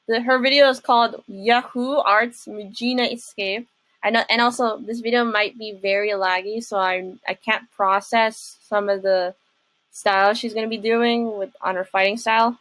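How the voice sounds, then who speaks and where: A young adult woman chatting casually and haltingly as she hosts a reaction video about games. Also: on the high side, a bit bright, dry recording, low-quality recording.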